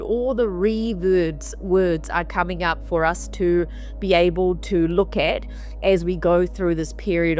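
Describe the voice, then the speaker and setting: A woman hosting a weekly spiritual mantra talk in a casual, meandering way. She sounds slightly nasal and sniffly from allergies and repeats phrases for emphasis. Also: on the low side. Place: on the road while traveling.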